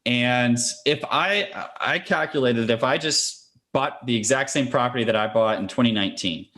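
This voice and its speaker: A man's voice: mid-range voice, normal speed, a bit bright. A man speaking as a podcast interview guest, a real estate investor explaining things conversationally and step by step.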